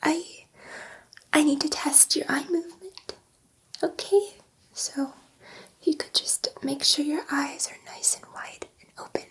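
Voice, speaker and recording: A woman voicing a gentle, excitable female alien scientist in an ASMR roleplay, soothing a human subject in a soft, breathy whisper and repeating words reassuringly. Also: high-pitched, a little slow, pauses often. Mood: gentle, instructing.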